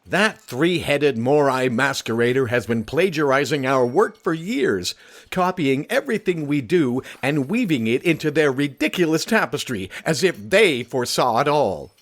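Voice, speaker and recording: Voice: medium pitch, animated, normal speed, natural voice. A performer of unclear sex playing an indignant, contemptuous, pedantic editor in a comic audio drama, emphatic in delivery. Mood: scornful. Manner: scornful, emphatic.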